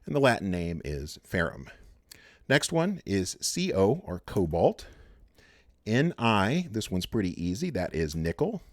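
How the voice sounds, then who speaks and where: A man teaching a chemistry lecture in a relaxed way, with many fillers and restarts. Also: low-pitched, a little slow, no room sound, studio quality. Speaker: chemistry instructor. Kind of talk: recorded lecture.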